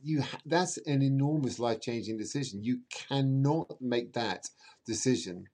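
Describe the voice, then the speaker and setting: A man's voice: medium pitch, normal speed, darkish tone. A man speaking as an astrologer guest in an interview, explaining emphatically in a rambling way and repeating words for emphasis.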